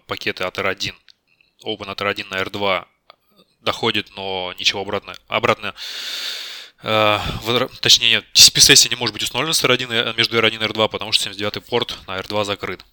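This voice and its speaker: A man's voice: fairly low pitch, sharp tone, dry recording, studio quality. A man being interviewed for a technical job, explaining spontaneously and tentatively, often correcting himself. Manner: tentative, self-correcting, admits uncertainty.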